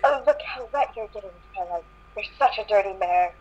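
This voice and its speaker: A woman's voice: mid-range voice, lively intonation, a little fast, a little mellow, muffled recording, natural voice. A woman voicing a domineering, taunting female pony villain in an amateur story reading. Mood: gloating. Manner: taunting, commanding.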